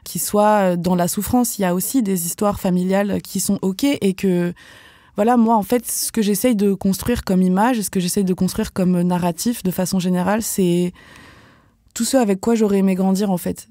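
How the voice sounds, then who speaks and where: A young woman from France, a professional dancer, speaking candidly as a podcast guest, casual and animated, with lots of fillers and slang. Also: medium pitch, a little fast, no echo, clean studio sound. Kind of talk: podcast interview.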